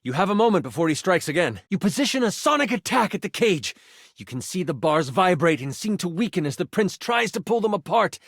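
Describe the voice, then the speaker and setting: Theatrical game master, higher-pitched male voice. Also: brisk, no echo, clean studio sound, heavily acted. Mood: grave, tense. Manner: theatrical, expressive storytelling with sighs and asides. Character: excitable, dramatic male twenty-sided die as game master. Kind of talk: dramatic tabletop fantasy narration.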